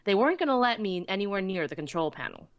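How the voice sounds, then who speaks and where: A woman's voice: medium pitch, big pitch swings, fast, no echo. A woman narrating a scripted first-person story dramatically, as in a fan audiobook.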